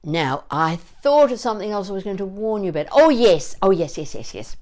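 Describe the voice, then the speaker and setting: A woman voice coach leading a warm-up lesson in an informal, encouraging and playful way, explaining each exercise as she goes. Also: mid-range voice, very animated, a little fast. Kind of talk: instructional vocal warm-up lesson with demonstrations.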